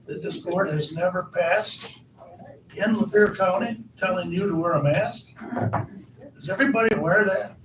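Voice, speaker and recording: A hoarse man chairing a county board meeting, repeating himself and asking the room questions. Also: sits high, moderate pace. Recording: echoey hall, far from the mic, background noise.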